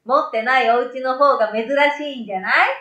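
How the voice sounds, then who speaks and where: A woman voicing an excitable, incredulous young boy with emphatic, casual childlike speech in a storybook reading. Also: on the high side, fairly quick, roomy sound, highs cut off. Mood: matter-of-fact. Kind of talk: storybook radio drama reading.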